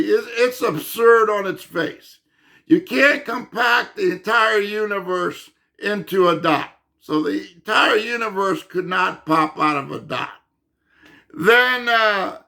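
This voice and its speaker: Man, fading hoarse voice, bright tone, slow halting delivery, insists and repeats points. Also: sits high. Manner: insistent, emphatic, repeats himself; halting, with many fillers and restarts. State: losing his voice. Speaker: channel host explaining physics. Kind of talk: unscripted monologue to camera.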